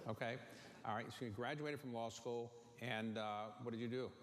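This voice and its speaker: A man's voice: medium pitch, fairly even intonation, normal speed. A man interviewing a guest with blunt, probing short questions. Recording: faint noise in a long-reverb room.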